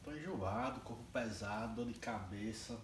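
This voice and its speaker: A troubled young husband pleads and prays in a low, tearful voice, played by a young man in an amateur church skit. The sound rings around a wooden hall. The camera is a long way off. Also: moderate pace, recorded from a distance, a little hiss or hum, natural voice. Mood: sick, gloomy. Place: wood-panelled hall, indoors. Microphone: far camera. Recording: echoey hall, picked up from afar.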